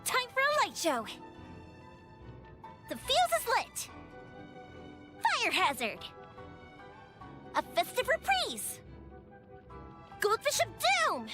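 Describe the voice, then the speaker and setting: A woman voicing a cheerful, playful young fireworks maker in an anime-style video game, bubbly, earnest and expressive. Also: very high voice, full character voice. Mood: battle excitement. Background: music.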